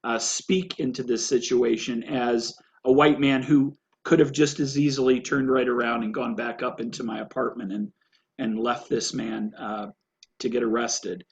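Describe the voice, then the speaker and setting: An American man telling a personal story earnestly and haltingly as a panelist, over muffled, lo-fi video call audio. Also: medium pitch, steady tone.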